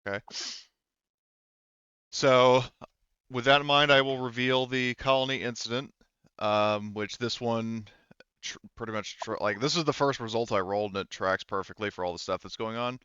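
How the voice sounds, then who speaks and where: A man narrating a tabletop roleplaying game as game master, explaining things informally and haltingly as he improvises. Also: medium pitch, fairly even intonation, sharp tone, clear, resonant tone, dry recording, muffled recording.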